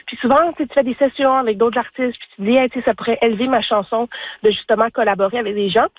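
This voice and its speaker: A woman's voice: on the high side, fairly even intonation, fast, dry recording, phone-quality sound. A woman with a Franco-Ontarian accent talking casually and effusively as an interview guest, telling things in an informal, anecdotal way. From Ontario, Canada. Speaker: singer-songwriter, interview guest. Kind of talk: casual, candid interview talk.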